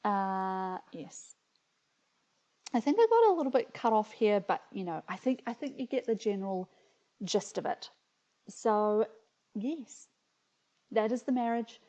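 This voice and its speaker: A woman's voice: medium pitch, very animated, unhurried, long pauses, warm tone. A woman with a New Zealand accent chatting casually and reading aloud as a video host, in a hesitant, reflective, amused way, on slightly muffled audio. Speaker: video host reading her childhood stories.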